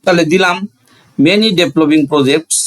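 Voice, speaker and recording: A man's voice: fairly high pitch, slow, poor audio. A man with a Bangladeshi accent teaching a grammar lesson, asking questions and answering them himself, repeating phrases for emphasis.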